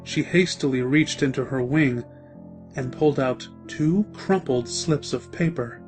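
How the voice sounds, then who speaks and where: A man's voice: mid-range voice, unhurried, a little mellow, soft background music. A man reading scripted story narration as the narrator of a fan-fiction drama.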